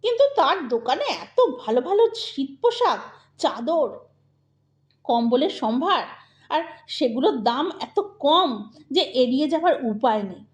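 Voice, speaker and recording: A woman narrating a scripted audio story in a deliberate, dramatic way. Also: sits high, very animated. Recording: echoey, reverberant voice track.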